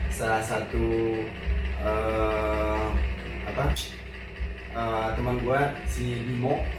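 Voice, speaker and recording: A man from West Sumatra with a Minang accent, a guest chatting casually and telling his career story in lively slang. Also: on the low side, extremely slow. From West Sumatra, Indonesia (Padang). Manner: animated storytelling in slangy speech. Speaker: guest, actor and radio host. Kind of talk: casual chat. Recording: echoey room, far from the mic, with music.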